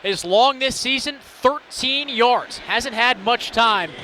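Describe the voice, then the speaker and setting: A man's voice: very high voice, slow pace, sharp tone, slight background noise. A young man giving live radio football analysis, explaining and recapping plays in descriptive phrases. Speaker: color analyst.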